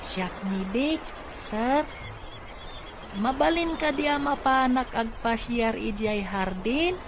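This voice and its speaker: An actor playing a lively, polite and curious young boy in an Ilocano radio comedy-drama, with a childlike, chatty, eager way of talking. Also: medium pitch, slow pace, background noise.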